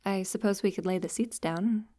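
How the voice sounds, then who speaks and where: A woman playing a shy, affectionate young best friend in an intimate ASMR roleplay, speaking softly and hesitantly, often in a breathy near-whisper. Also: medium pitch, lightly acted. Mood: casual, tentative. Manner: hesitant, gentle, halting. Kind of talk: intimate roleplay ASMR, soft-spoken acting.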